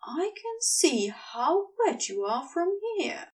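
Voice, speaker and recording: A woman voicing a commanding, lustful male houseguest in an audiobook story, teasing and coolly controlling, with deliberate delivery. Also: sits high, very animated, darkish tone, distant mic, voice pushed up, exaggerated voice. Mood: commanding, coaxing.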